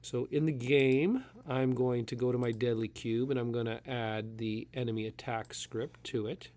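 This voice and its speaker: A man's voice: mid-range voice, normal speed. A man with a slight head cold, casually talking through a programming tutorial at his computer, with plenty of fillers along the way. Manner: relaxed, talks through steps with fillers. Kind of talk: casual screen-recorded coding tutorial.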